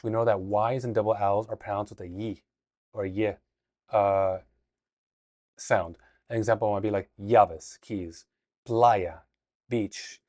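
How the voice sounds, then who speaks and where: A man's voice: fairly low pitch, dry recording. Man explaining Spanish, dry close sound. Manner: relaxed, explanatory, with frequent restarts. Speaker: Spanish teacher. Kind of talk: casual language lesson.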